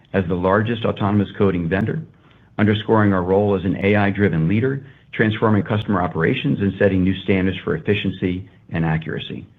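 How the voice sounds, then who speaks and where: Through a thin, phone-like conference line comes a low-pitched male executive with a rough edge to his voice. He reads prepared business remarks in a measured, formal way. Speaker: company executive. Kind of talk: prepared corporate earnings presentation. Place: earnings conference call.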